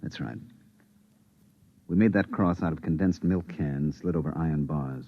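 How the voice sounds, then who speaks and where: Gruff, husky, weary moon-base veteran. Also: male voice, extremely low pitch, normal speed. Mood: grim. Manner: terse, clipped, flatly firm. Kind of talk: 1950s radio drama acting. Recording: muffled vintage 1950s radio recording.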